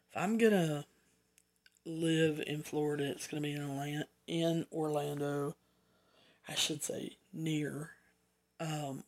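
Southern-accented solo podcaster, unhurried, wanders into side chatter, corrects themselves. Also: mid-range voice. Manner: rambling, chatty asides, self-corrections. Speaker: podcast host. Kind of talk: casual solo podcast rundown.

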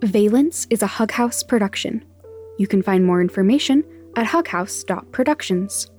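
A woman's voice: mid-range voice, lively intonation, moderate pace, darkish tone. Woman reading credits over faint music. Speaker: podcast creator reading credits. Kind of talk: scripted narration.

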